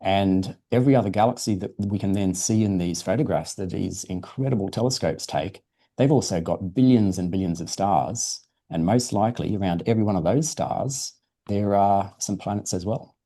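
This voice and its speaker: Man, low pitch, very dark mellow tone, science presenter, informal explanations, encouraging. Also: normal speed. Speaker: science presenter answering questions. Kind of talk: informal live science explanation for young audience.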